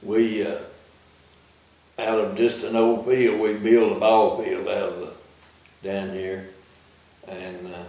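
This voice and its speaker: Man in his 80s, low flat voice, slow and halting, very echoey, distant. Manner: halting, with many fillers. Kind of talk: recorded interview. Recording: very echoey room, distant mic.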